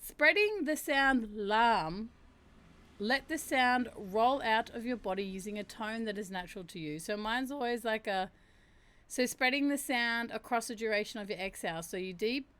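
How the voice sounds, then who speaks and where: A woman with a naturally deep voice talks casually to her stream audience from a streaming mic. She chats freely and reads meditation steps aloud, stretching the chanted sounds out slowly. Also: a bit bright. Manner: chatty, laughs and reacts to chat; slows down to chant drawn-out syllables. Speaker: livestream host. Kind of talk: casual chat mixed with reading aloud.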